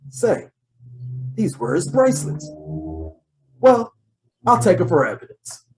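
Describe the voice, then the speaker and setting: A man playing a brusque, exasperated, wisecracking police detective in an old-time radio comedy mystery, gruff and sardonic. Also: on the high side, wildly swooping pitch, takes it slowly, a little hiss or hum, heavily acted, low-quality recording. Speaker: voice actor.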